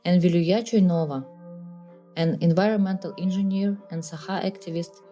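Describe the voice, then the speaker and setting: A woman hosts a podcast in a lowish, mellow voice. She introduces her guests and poses formal, measured questions, with faint music somewhere in the mix. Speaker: podcast host. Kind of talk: podcast interviewing.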